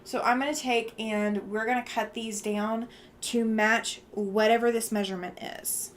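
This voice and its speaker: A woman's voice: a little high, fairly even intonation, a little slow, a little hiss or hum. A tired woman with a Southern US accent chatting casually while she works on a quilt at home, thinking aloud with fillers and frequent laughs. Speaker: quilting livestream host.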